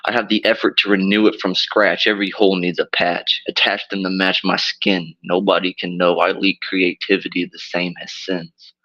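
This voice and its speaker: A man with a raspy voice, drunk and loopy, delivering a dark rap verse slowly and deliberately. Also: low voice, level tone, dull audio. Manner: slow, deliberate delivery of dark, intricate rhymes. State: drunk and loopy after dental surgery. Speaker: rapper performing a verse. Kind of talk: slow spoken-word rap.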